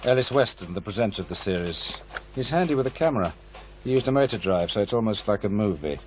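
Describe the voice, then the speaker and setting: An actor playing a pushy, ambitious radio program maker, insistent and persuasive, in a radio drama. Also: male voice, fairly low pitch, lively intonation, quick pace, a little hiss or hum, lightly acted. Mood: eager, persuasive. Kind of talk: naturalistic radio drama dialogue.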